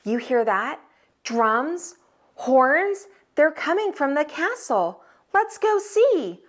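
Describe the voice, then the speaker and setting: Lady doing an eager little girl from a kids' storybook, excited and bossy-impulsive, high, swoops up and down. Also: takes it slowly, full character voice. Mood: eager, impatient. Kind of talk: children's storybook read-aloud.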